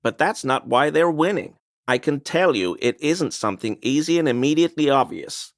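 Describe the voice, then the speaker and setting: A man's voice: a little high, animated, moderate pace, no room sound, studio quality. An actor playing a smug, conspiracy-minded man in a video game, speaking in an insistent, knowing, insinuating way. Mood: dismissive, smug. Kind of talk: video game dialogue voice lines.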